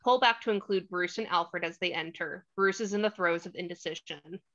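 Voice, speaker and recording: A woman's voice: lowish voice, moderate pace, fairly bright tone. A woman reading stage directions as the narrator of a screenplay table read. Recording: slightly dull lo-fi audio.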